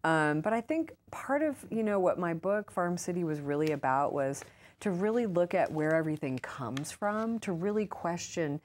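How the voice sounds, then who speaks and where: A woman's voice: lowish voice, expressive, normal speed, a little mellow, slight background noise. An American woman giving casual, conversational interview answers in an informal, chatty way, often saying "like" and "you know". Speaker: interview guest, author and urban farmer.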